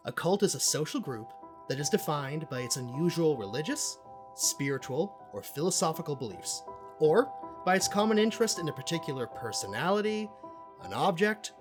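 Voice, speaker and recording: A theatrical, self-important cult expert played by an actor holds forth in an audio drama. The delivery is pompous and full of deliberate pauses, with pitch that swings widely. Music plays clearly underneath. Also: lightly acted. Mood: smug, theatrical.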